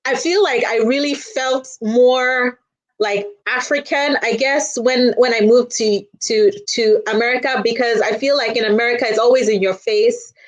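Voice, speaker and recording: A woman's voice: a little high, fairly even intonation, moderate pace, muffled recording. A woman talking casually and chattily as an interview guest, often saying "you know" as she explains. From Nigeria. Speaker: guest, children's storyteller.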